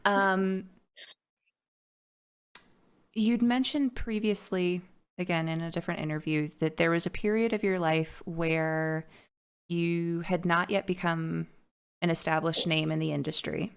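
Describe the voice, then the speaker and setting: Smooth, gravelly-edged woman host. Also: lowish voice, steady tone, measured pace, pauses often. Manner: thoughtful, careful phrasing, polite. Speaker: podcast host. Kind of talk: interview questions.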